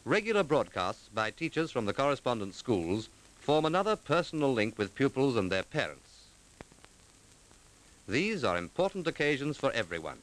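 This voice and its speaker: A man delivering formal, measured scripted narration in the manner of a 1940s documentary, clearly enunciated. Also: mid-range voice, very animated, a bit bright, low-quality recording. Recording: vintage 1940s film soundtrack.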